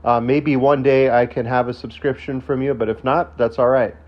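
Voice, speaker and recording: A man with a stuffed-up, congested voice talks casually to his viewers, wandering through fillers and false starts. His throat is still rough and he coughs now and then. The recording sounds a little dull and muffled, and his pitch sits in an ordinary middle range. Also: steady tone, moderate pace. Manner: rambling, lots of fillers and restarts. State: stuffed up from a sinus infection; coughing, throat not fully recovered. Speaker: channel host. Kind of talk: casual solo video talk.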